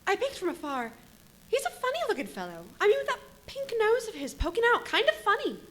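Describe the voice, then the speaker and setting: A woman playing a naive, curious young kitchen maid, a bit slow, in a live radio play. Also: very high voice, wildly swooping pitch, fairly bright tone, heavily acted. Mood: curious, simple.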